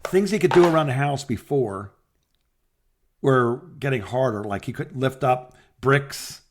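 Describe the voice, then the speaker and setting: A man's voice: mid-range voice, expressive, a little slow. An older man, a podcast co-host in a casual phone chat, giving advice in an easygoing, rambling way.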